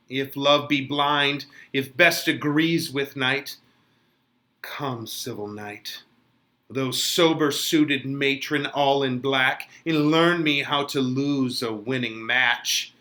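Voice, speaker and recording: Man playing a slow, yearning young Juliet. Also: mid-range voice, sharp tone. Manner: slow, dramatic Shakespearean verse recitation. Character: young Juliet, eager, lovestruck, dramatic teenage bride.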